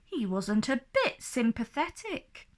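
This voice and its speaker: Slow, higher-pitched woman reading a storybook. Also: sing-song. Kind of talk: expressive children's storybook narration.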